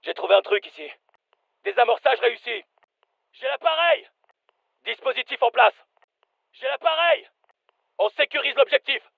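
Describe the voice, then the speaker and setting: An actor playing a brisk special forces soldier, giving clipped, commanding combat callouts for a dubbed military game. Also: male voice, very high-pitched, animated, vocal fry, no room sound, narrow, tinny audio, heavily acted. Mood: brisk, focused.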